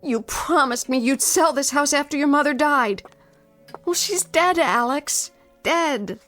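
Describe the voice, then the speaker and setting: A woman's voice: high voice, unhurried, fairly bright tone, soft background music. An actress playing a determined, frightened fiancée in a radio drama, intense and dramatic, drawing out her phrases. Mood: wounded, insistent. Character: determined, frightened long-waiting fiancée, pleading then defiant.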